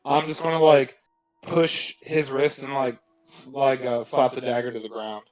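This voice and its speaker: A man's voice: mid-range voice, level tone, normal speed, no echo, soft background music, low-quality recording. A young man playing a tabletop game, explaining his moves hesitantly with many filler words. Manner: hesitant, full of "like". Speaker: player. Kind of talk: casual game talk.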